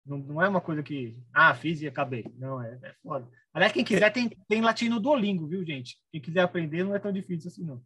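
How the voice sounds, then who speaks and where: Brazilian co-host, fast and chatty, dry close sound. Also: male voice, fairly high pitch, lively intonation, pushed and bright, breathy edge, dry recording, poor audio. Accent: Brazilian Portuguese. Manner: chatty, agreeing asides. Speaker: podcast co-host. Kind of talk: casual podcast chat.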